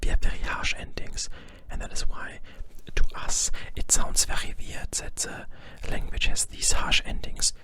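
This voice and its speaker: A young man whispers in a bright, sharp hush with a deliberate German accent. He explains things informally in an ASMR style, overpronouncing his consonants so that they land crisply. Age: young adult. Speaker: ASMR creator.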